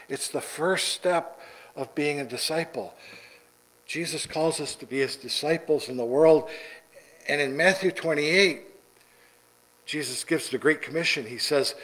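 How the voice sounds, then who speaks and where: Middle aged pastor man preaching slow from the pulpit, church sanctuary, repeats himself for emphasis. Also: on the high side, poor audio. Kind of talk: sermon. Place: church sanctuary, large indoor room.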